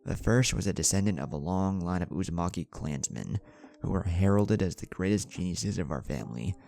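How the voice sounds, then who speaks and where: A stern, proud clan matriarch from a fantasy tale, read by a man. The voice is deep and mellow, with formal, solemn phrasing that swells and dips. Music runs underneath. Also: lively intonation, brisk, gravelly. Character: stern, commanding woman leader, grandmother of ninja clan. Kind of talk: fantasy story character reading.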